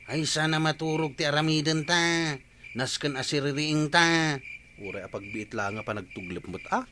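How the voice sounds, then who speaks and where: A man's voice: a little high, moderate pace, bright, forceful tone, a little hiss or hum. A man acting a mischievous, teasing villager in a comedic radio drama. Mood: musing, drowsy.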